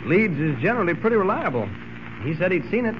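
An actor playing a calm, polite male newspaper reporter in old-time radio drama style. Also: high-pitched, fast. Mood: calm, courteous. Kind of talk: 1940s radio drama acting. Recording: muffled, noisy old radio recording.

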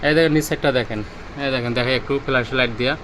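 A man's voice: mid-range voice, fairly even intonation, racing, a bit bright, slight background noise, rough recording. A man with a Bangladeshi Bengali accent giving an informal, repetitive off-the-cuff sales demonstration, pointing things out as he goes. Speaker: seller demonstrating used car audio-video equipment.

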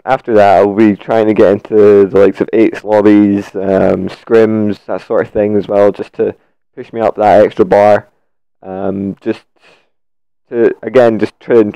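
Croaky young man on a dull mic. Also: fairly low pitch, level tone, a little slow, dark tone, no room sound. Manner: laid-back, rambling. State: croaky from a sore throat. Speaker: gaming YouTuber. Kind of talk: gameplay commentary voice-over.

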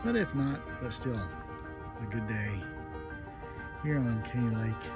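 An older man speaks at an ordinary middle pitch, relaxed and unhurried, dropping in plenty of "uh" fillers. He films himself outdoors on a phone at arm's length. Loud music plays under his voice. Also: muffled recording. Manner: relaxed, easygoing, with frequent "uh" fillers. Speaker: RV travel vlogger. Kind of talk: casual travel vlog narration. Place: outdoors at campground, gas station and driveway. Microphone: phone held at arm's length.

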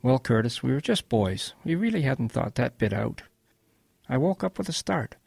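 An older man playing an elderly grandfather, reminiscing in a folksy, gravelly way as he narrates a radio drama. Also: medium pitch, very animated, moderate pace, a little mellow, no room sound. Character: elderly grandfather recounting his wartime boyhood.

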